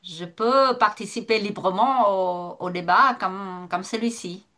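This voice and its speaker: A woman's voice: medium pitch, a little slow, fairly bright tone. A retired woman from northern Vietnam, speaking French with a Vietnamese accent, who talks as a guest in an online debate, with many fillers and restarts. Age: older.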